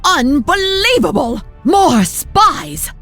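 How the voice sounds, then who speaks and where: An actress playing a haughty, cruel mistress of the mines in a video game, imperious and threatening. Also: female voice, high voice, very animated, very slow, heavily acted. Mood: scornful anger. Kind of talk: video game cutscene acting. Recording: music behind.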